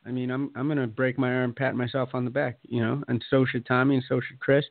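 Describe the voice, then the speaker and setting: A man's voice: mid-range voice, level tone, quick pace, gravelly, no echo. Laughing man, tinny phone line. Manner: laughs a lot, swears, jokey asides. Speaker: podcast co-host. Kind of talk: casual chat. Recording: dry, phone-like sound.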